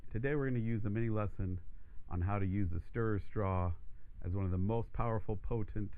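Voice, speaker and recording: A man teaching a voice lesson, explaining steps calmly and steadily, his voice croaky from allergies. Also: lowish voice, a little fast, narrow, tinny audio. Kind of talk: instructional demonstration.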